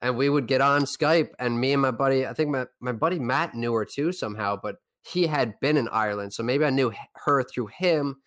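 A young man from Newfoundland, Canada, with a Newfoundland accent, co-hosting a casual podcast chat and telling rambling, animated stories. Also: medium pitch, brisk, few pauses. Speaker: podcast co-host.

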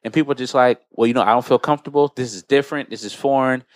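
A man's voice: mid-range voice, fairly even intonation, racing, dry recording, clean studio sound. An American man hosting a podcast in a conversational way, explaining and recounting things as he talks. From United States. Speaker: podcast host.

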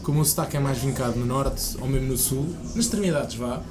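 A young man with a Lisbon accent who hosts a casual chat, talking in a chatty way and thinking out loud. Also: mid-range voice, quick pace, over music. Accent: Lisbon Portuguese. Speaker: host. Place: student radio set up in a café. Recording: busy café chatter behind.

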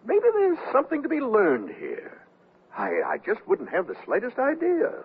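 A blustery, excitable male company boss from a radio comedy, stammering as his voice swings wildly up and down. There is a gravelly crackle in it, and the sound is thin like an old radio. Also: sits high, normal speed, phone-quality sound, exaggerated voice. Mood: flustered. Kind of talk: 1970s radio comedy acting.

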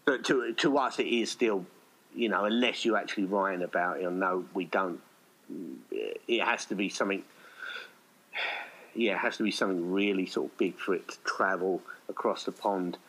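A British man with a fairly low voice talks in a loose, conversational style. He rambles chattily as he explains things, like a writer talking shop. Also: moderate pace, fairly bright tone, rough recording. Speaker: audio play writer. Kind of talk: casual conversation.

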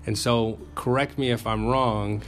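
A man's voice: medium pitch, steady tone, a little slow, slight background noise. A man hosting a podcast interview, asking questions hesitantly and often restarting with uh.

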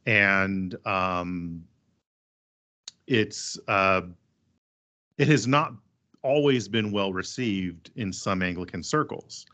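A man's voice: sits low, slow, pauses often, sharp tone. A man who is a priest, speaking in a thoughtful podcast discussion and explaining things at length.

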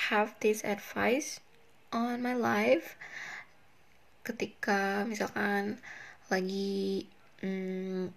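Chatty Indonesian woman with a creaky voice. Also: medium pitch. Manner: chatty, self-conscious, switches between English and Indonesian. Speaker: podcast host. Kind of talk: casual solo advice talk.

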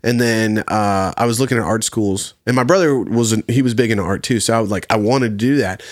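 A man's voice: lowish voice, brisk, no room sound, studio quality. A man in his thirties from Virginia, a podcast guest talking casually, laid-back, laughing often and swearing freely. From Virginia, USA. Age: 30s. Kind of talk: casual chat.

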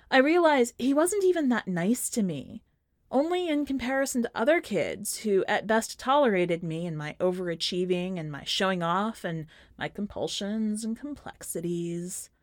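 A woman reading a first-person short story in a measured, expressive audiobook style. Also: medium pitch, no echo, studio quality. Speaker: podcast host and fiction reader.

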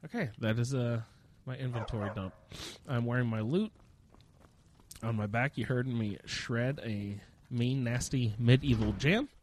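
A man chats his way through a video game in a loose, rambling way. He jokes at his own expense and sounds slightly sniffly from a cold, with a medium-pitched voice. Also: a little slow, a little mellow, a little hiss or hum. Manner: rambling, self-deprecating, jokey. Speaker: gamer narrating own playthrough. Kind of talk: casual gameplay commentary.

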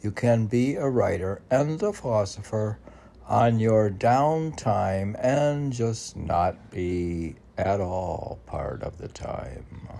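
A man's voice: lowish voice, animated, drawn-out words, gravelly, warm tone. A man with a New York accent reading his own poems aloud, rambling between them and answering himself with many fillers. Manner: meandering, talks back to himself with many fillers. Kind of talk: rambling spoken commentary and poetry reading.

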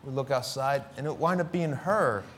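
A middle-aged male pastor with a Philadelphia accent, preaching with dramatic swings between loud declarations and hushed words, and acting out conversations as he tells stories. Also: mid-range voice, normal speed, slight background noise. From Philadelphia inner city. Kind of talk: sermon.

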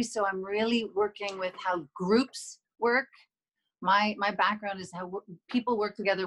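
A woman's voice: mid-range voice, rough recording. Woman psychologist guest, mid-range voice, careful explainer. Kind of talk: explanatory conversation.

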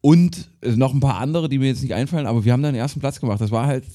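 A man in his thirties trading jokes on a casual podcast, animated as he spins his stories. His voice sits in a mellow, darker register at an ordinary pitch. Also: racing. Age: 30s. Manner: animated storyteller, jokey. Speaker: podcast co-host. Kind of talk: casual banter about music.

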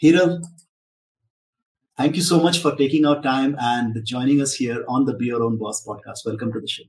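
A man's voice: medium pitch, steady tone, moderate pace, far from the mic. A man hosting a business podcast, who opens with a showman's welcome and then asks questions in a conversational way. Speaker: podcast host. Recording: roomy, from the mic, faint noise.

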